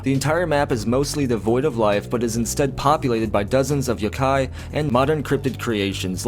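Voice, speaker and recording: A man's voice: mid-range voice, a little hiss or hum. A man hosting a gaming news channel, talking in a casual, earnest and repetitive way as he reads out and comments on a leak. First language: English.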